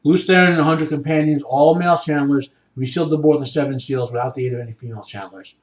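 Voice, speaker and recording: Male podcast host, informal explainer, halting delivery, frequent check-ins, heavy room echo. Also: a little high. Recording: echoey room sound.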